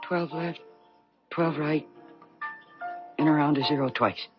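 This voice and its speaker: An actress playing a flustered, dreamy secretary with a husky voice and halting delivery in 1970s radio drama. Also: female voice, sits low, music in the background. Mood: uneasy, knowing. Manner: halting, distracted. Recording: dull 1970s radio recording.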